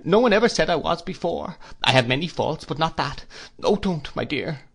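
A man's voice: medium pitch, fairly quick, gravelly. A man voicing a timid, tearful young wife who pleads plaintively and falters, in a classic novel reading. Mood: pleading, distressed. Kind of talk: classic novel read aloud.

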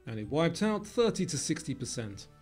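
A man with a somewhat high voice narrates a quiz from a script. He reads each question and option clearly over background music. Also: big pitch swings, moderate pace, a little mellow.

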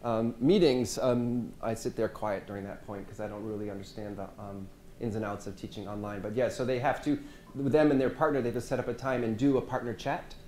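Male presenter, mellow low-key tone, casual workshop delivery, echoey seminar-room sound. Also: steady tone, brisk. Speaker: French language instructor. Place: medium-sized seminar room with audience. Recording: echoey room, faint hiss.

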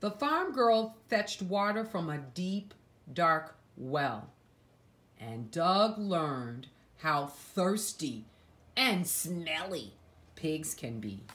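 Female picture-book reader for young kids: unhurried, highly expressive intonation, dramatic emphasis, hushed asides. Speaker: storyteller reading a picture book aloud to children. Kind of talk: expressive read-aloud storytelling for young children.

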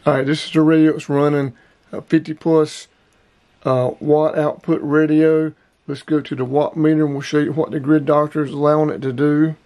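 Plainspoken middle-aged man, slightly high voice. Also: level tone, moderate pace, mellow, dry recording. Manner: plainspoken, rambling, repeats numbers. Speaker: outdoors and radio hobby vlogger. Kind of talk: casual gear demo and review. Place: small indoor room, gear shelves behind.

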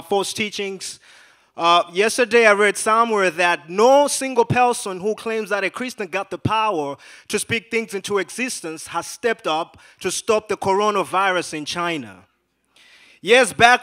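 Young Malawi-born rapper, Chichewa first language, high bright tone, joking stage patter into a handheld mic. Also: male voice. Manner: call-and-response shouting, then relaxed joking talk. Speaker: rapper, student performer. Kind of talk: hyping a crowd and introducing songs. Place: large auditorium stage.

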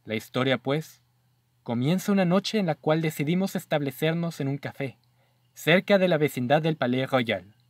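A man playing an earnest young gentleman narrator. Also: a little high, normal speed, no room sound, lightly acted. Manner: earnest, easily astonished. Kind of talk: radio drama narration and dialogue. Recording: dry voice over soft music.

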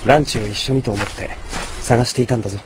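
A commanding young mafia boss played by a man, protective yet dangerous, with a mid-pitched voice. His speech is curt and blunt, in Japanese audio drama style, with clear noise on the recording. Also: steady tone, fast talker, natural voice. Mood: concerned, tender.

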